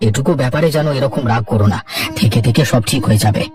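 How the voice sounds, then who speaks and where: A man's voice: mid-range voice, fast talker, music in the background, close to an everyday voice. A man voicing an easygoing older father-in-law in a children's cartoon, homely and conciliatory, recorded at a distance. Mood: easygoing, placating. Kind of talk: Bengali children's cartoon dialogue.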